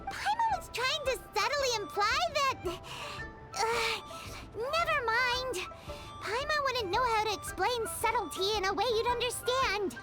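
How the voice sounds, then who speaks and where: A woman voicing a tiny, cheeky, indignant childlike fairy companion in an anime video game, with a squeaky voice and music behind. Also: animated, unhurried. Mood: huffy. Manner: refers to self in third person, huffy.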